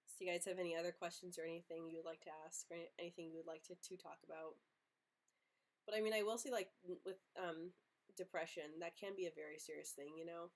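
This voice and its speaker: A young woman who stutters often, with repeated sounds and blocks, hosting a casual live Q&A, earnest and quick to laugh. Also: fairly low pitch, fairly even intonation. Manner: earnest, open, laughs easily. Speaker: livestream host answering viewer questions.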